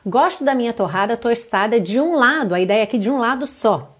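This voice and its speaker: Woman from brazil teaching english online, reads stuff out slow n careful then translates, kinda high voice. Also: animated, slightly rough. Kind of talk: online language lesson.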